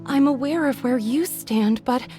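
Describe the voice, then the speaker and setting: A woman's voice: high-pitched, unhurried, dark tone. A woman voicing a wary young village woman in a video game, hesitant and polite. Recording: long reverb. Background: music.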